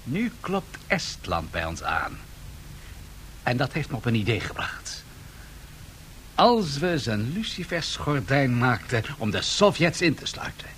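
An actor playing a bold, impatient, ambitious tycoon in a radio drama, with a brisk, commanding manner. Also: male voice, mid-range voice, very animated, a bit bright, slight background noise. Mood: calculating. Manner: brisk, commanding, self-assured.

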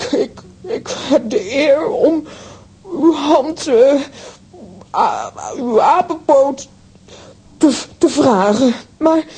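An actress playing a fierce, easily agitated aunt in a children's audio play, speaking in exclamations with theatrical drama. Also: female voice, high voice, warm tone, full character voice.

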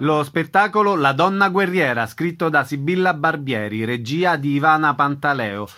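A man's voice: on the high side, normal speed, pushed and bright. A man hosting a radio show, reading scripted announcements and introductions. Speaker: radio host.

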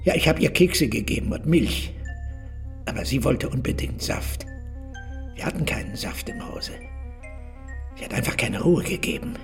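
An actor in a radio drama playing a simple, haunted elderly loner, speaking haltingly and with childlike phrasing. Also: male voice, medium pitch, expressive, distant mic, faint music behind, natural voice. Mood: troubled, confessing. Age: older.

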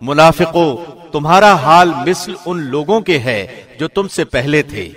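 A man reading a scripted scripture translation in a formal, deliberate radio presenter's delivery. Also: a little high, lively intonation, a bit bright.

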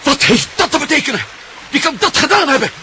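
An actor in a radio play as a suspicious, sceptical adventurer on the run. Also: male voice, very high voice, sing-song, fast talker, bright, forceful tone, heavily acted. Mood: tense, alarmed. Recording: noisy radio drama recording.

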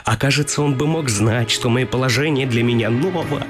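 A man's voice: medium pitch, brisk, music in the background, lightly acted. An actor playing a shy, lovestruck young man who muses nervously and formally in a radio comedy. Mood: uneasy. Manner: introspective, formal asides. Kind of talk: theatrical radio comedy acting.

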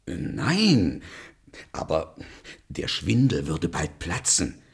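A man playing an anxious, soft-hearted royal huntsman in a fairy-tale radio play, halting, solemn and pleading as he obeys. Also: sits low, huge pitch swings, creaky, breathy edge, full character voice. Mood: torn, worried.

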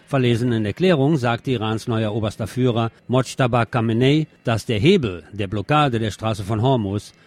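A man's voice: medium pitch, normal speed, few pauses, darkish tone. A man presenting scripted news commentary as a radio presenter, with pointed, sardonic phrasing.

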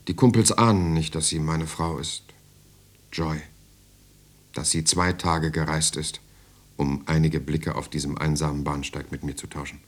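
An actor playing a weary political prisoner who narrates his story in measured, intimate short phrases in a radio play. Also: extremely low pitch, fairly even intonation. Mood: tender. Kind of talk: scripted dramatic narration.

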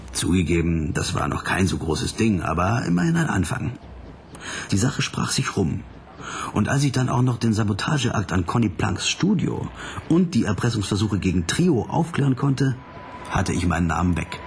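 A man's voice: lowish voice, a little hiss or hum. An actor playing a jaded, self-important male pop detective who recalls old cases in dry, wry radio play narration. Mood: wry reminiscing. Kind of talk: hard-boiled first-person radio play narration.

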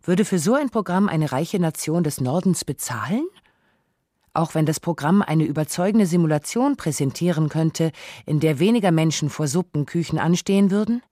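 Mellow, close-up documentary narrator. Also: medium pitch, lively intonation, no room sound. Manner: measured, essayistic, rhetorical questions.

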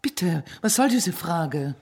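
An actress playing a proud professor's wife in a radio drama, husky-voiced, haughty and evasive under questioning. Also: female voice, on the low side, expressive, slow, darkish tone, full character voice. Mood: indignant.

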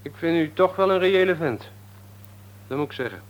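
Actor as cheeky, cynical young layabout. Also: male voice, on the high side, animated, normal speed, dull audio, lightly acted. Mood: grudging, offhand. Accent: Netherlands Dutch. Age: young adult. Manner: insolent, evasive, offhand. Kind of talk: Dutch radio play acting. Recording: radio drama recording.

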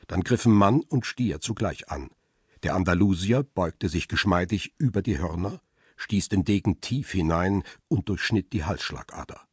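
A man playing a paparazzo who tells his own crime story in a radio drama, husky and measured in a literary way. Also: low-pitched, no echo, clean studio sound, exaggerated voice. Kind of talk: radio drama first-person narration and dialogue.

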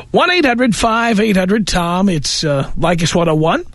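A man's voice: high-pitched, big pitch swings, unhurried, fairly bright tone, no room sound. A man hosting a call-in radio talk show, blunt and lecturing, arguing his points. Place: radio studio.

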